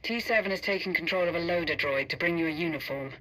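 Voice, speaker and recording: Bright, high-pitched male operative. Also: fast, a little hiss or hum, poor audio. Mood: focused, instructive. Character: businesslike male operative guiding an agent remotely. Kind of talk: video game voice acting.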